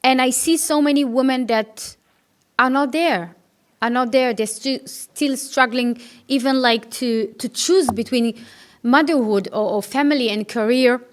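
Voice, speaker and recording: A woman's voice: sits high, measured pace. A woman from Israel hosting a talk show, chatting eagerly with her guest and often restarting her sentences. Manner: enthusiastic, with frequent restarts and fillers. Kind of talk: casual interview chat.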